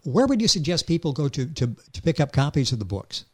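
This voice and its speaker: A man's voice: fairly high pitch, big pitch swings, fairly quick. A man hosting a radio program, interviewing a guest in a friendly, conversational way. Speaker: radio show host.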